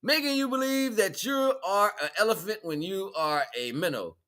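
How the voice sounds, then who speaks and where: An American man hosting a livestream, giving an informal spiritual monologue in an animated, colloquial, preaching way with repeated phrases. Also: sits high, moderate pace. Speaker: livestream host.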